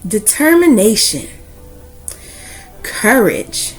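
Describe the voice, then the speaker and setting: A woman hosts a children's read-aloud at a very slow, deliberate pace. Her delivery swings widely in pitch, with emphatic stresses and dramatic pauses. Music plays behind her voice. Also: very animated, breathy edge. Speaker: host of children's book read-aloud series. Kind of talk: expressive read-aloud of a children's picture book.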